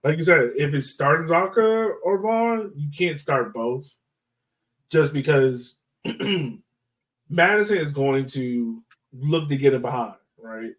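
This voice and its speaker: A man's voice: on the high side, slow pace, fairly bright tone, distant mic, low-quality recording. A man hosting a sports podcast, talking through analysis in an informal, slangy way. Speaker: podcast host. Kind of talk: casual sports analysis chat.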